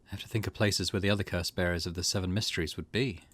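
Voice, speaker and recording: A man's voice: low-pitched, fairly even intonation, very fast, fairly bright tone. A man hosting a let's play, chatting casually, thinking aloud and reading game text out as he goes. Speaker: gaming channel host. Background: game music.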